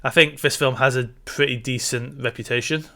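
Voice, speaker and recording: A man's voice: medium pitch, steady tone, brisk, gravelly, pushed and bright. A man co-hosting a podcast, talking casually in a film discussion. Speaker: podcast co-host.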